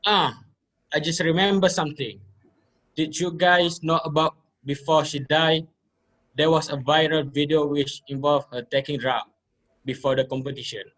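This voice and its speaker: Give me a man as a high-voiced anxious student. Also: steady tone, normal speed, fairly bright tone, close to an everyday voice, poor audio. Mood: worried. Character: anxious young male student reasoning things out. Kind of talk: amateur dramatic reading.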